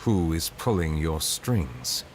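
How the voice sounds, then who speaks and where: An actor playing a grave, composed chief justice, formal and deliberate, in a video game. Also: male voice, a bass voice, big pitch swings, darkish tone, slight background noise, full character voice. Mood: stern, questioning.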